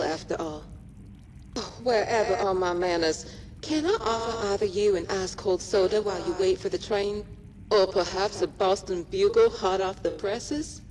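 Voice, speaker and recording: A woman's voice: mid-range voice, darkish tone, a little hiss or hum, lightly acted, rough recording. A woman playing a chirpy, eager-to-please station-attendant machine in a video game, with folksy, sing-song hostess patter.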